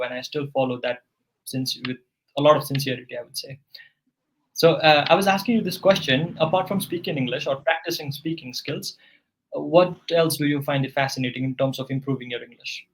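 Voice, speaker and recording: A man's voice: medium pitch, steady tone, brisk, a little hiss or hum, poor audio. A man working as an English tutor, patiently giving corrective grammar feedback in a one-on-one session.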